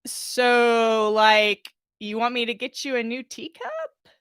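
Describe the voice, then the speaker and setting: A woman voicing a bored young female server, unbothered and halting, with slacker speech full of filler, in a read-aloud story. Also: a little high, fairly bright tone, smooth, no echo, studio quality. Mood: blankly confused. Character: bored, slouchy young female tea-shop server, gum-chewing delinquent.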